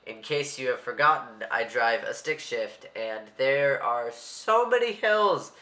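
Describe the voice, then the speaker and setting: Young man, congested, rambling and a bit anxious, bright tone in an echoey room. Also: medium pitch, expressive, measured pace. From Maryland, USA. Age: young adult. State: stuffy, congested. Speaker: vlogger. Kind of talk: casual vlog monologue.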